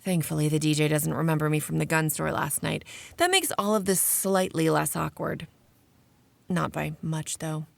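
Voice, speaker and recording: A woman's voice: sits low, steady tone, normal speed, gravelly, dry recording. A woman playing a shy, awkward vampire researcher in an audio drama, narrating in the first person with dry, self-deprecating asides. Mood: awkward, anxious.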